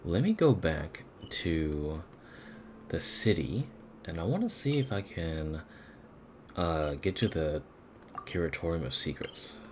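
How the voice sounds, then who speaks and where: A man's voice: deep voice, sing-song, slow pace, pauses often, slight background noise. A man casually commentating on a game livestream, talking to himself as he plays. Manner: talks to himself, reads game text aloud. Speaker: game streamer. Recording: game music behind the voice. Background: game music.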